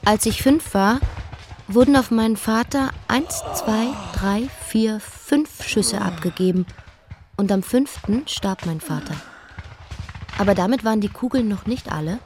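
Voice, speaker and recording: A young queen, played by a woman narrates her life in a radio play. She is defiant and speaks at a medium pitch, with faint music behind her. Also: a little slow, a little mellow, close to an everyday voice. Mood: matter-of-fact.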